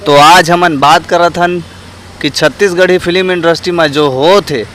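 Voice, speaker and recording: A man's voice: on the high side, fairly even intonation, moderate pace, fairly bright tone. A man with a Chhattisgarhi accent presenting a vlog as host, earnestly addressing viewers directly as friends. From Chhattisgarh, India. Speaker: host and street interviewer. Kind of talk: vlog presenting with public opinion questions.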